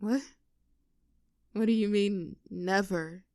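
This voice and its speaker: A woman's voice: mid-range voice, steady tone, mellow, no echo, studio quality, natural voice. A woman playing an affectionate, teasing girlfriend with a vulnerable streak in an intimate roleplay audio drama. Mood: vulnerable, tender. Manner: teasing, halting when earnest.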